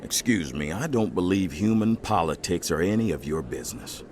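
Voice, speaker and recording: An actor playing an eager, polished, stubbornly nationalist politician in a video game, speaking in an oratorical, politely insistent way. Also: male voice, low voice, lively intonation, normal speed, a little mellow, slight background noise. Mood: curt, stiff. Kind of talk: video game dramatic dialogue. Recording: music behind the voice.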